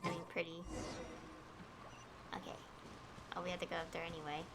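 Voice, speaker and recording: A woman's voice: fairly low pitch, flat delivery, fairly quick, vocal fry, heavy background noise. A woman streaming a game, giving casual, informal commentary as she thinks aloud. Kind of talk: casual livestream gameplay commentary. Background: game music.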